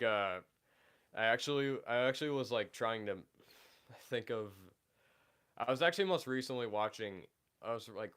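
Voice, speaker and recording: Deep-voiced young man chatting on a livestream. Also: smooth. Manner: halting, many fillers and restarts, trailing off quietly. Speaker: livestream host. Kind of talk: casual livestream chat.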